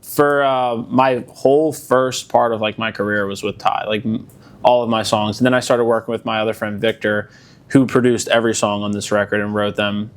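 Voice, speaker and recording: A young man from Delaware County, Pennsylvania, a musician guest in a casual interview, talking in a relaxed, rambling way with lots of fillers. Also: on the low side, moderate pace.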